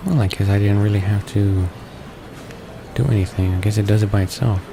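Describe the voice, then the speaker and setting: Low-voiced man reading a game aloud. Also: steady tone, brisk, gravelly, background noise. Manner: stumbles over words while reading. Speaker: let's play host reading a visual novel aloud.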